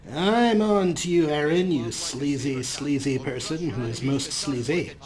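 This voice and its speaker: A man's voice: on the high side, measured pace, a little mellow, faint music behind. A man hosting a let's play, giving sarcastic, playful commentary and role-playing his character. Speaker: host.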